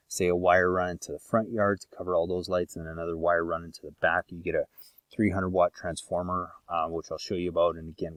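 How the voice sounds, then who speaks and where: A man giving a casual, relaxed voice-over consultation, explaining a lighting plan with many ums and uhs. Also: low-pitched, no echo. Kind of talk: narrating an online design consultation over photos.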